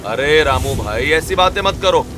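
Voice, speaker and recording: A man's voice: fairly high pitch, expressive, normal speed, background noise, close to an everyday voice. A man voicing a kindly, cheerful village farmer in a cartoon story. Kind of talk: cartoon story narration and voice acting.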